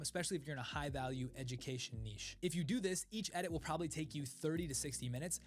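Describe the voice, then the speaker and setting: A young man in a dim home studio, delivering a scripted talking-head explainer about his content workflow, energetic and gesturing with his hands. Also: on the high side. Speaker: content creator. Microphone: studio mic in front.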